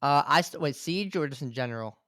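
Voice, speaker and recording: A man in his twenties, a guest on a podcast, talking in a relaxed way with lots of filler words. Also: fairly high pitch, fairly quick, no room sound, studio quality. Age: 20s. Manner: relaxed, fills talk with "like". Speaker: podcast guest, gaming content creator. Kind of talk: casual interview chat.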